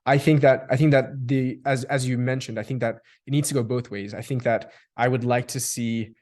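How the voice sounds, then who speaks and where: A man's voice: mid-range voice, fairly even intonation, a little fast, a little mellow. A man from Australia speaking as a guest in a reflective discussion, often repeating words as he builds long explanations. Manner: thoughtful, restarts and repeats words while building long sentences.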